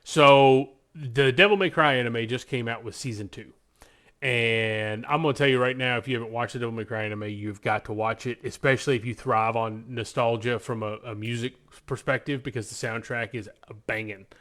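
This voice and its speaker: A man's voice: mid-range voice, steady tone, fairly quick, a bit bright. A man co-hosting a podcast, chatting casually and giving his opinions with enthusiasm and emphasis. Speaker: podcast co-host. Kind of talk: casual podcast chat about games and films.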